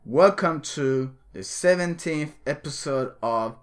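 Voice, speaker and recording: A man's voice: a little high, slow pace. Easygoing male podcast host. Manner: relaxed, repeats himself, says "man" a lot. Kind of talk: casual podcast chat.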